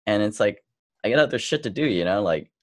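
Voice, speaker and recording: A man's voice: fairly low pitch, lively intonation, quick pace, no room sound, clean studio sound. A man in his thirties hosting a podcast in casual chat, laid-back and full of fillers, a bit tipsy. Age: 30s. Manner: laid-back, uses lots of fillers like "you know". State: tipsy from drinking beer. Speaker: podcast host.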